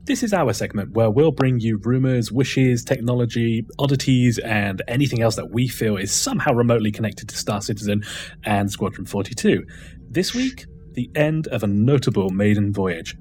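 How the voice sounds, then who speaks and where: A man's voice: mid-range voice, lively intonation, normal speed, a little mellow. A man co-hosting a podcast, reading a scripted news segment with wry, sarcastic asides. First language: not Chinese. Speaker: podcast co-host.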